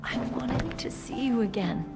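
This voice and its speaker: A woman playing a wounded young soldier in a video game, tough yet tender, speaking haltingly and emotionally. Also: mid-range voice, creaky. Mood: tearful tenderness. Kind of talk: dramatic video game cutscene acting. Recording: music behind the voice.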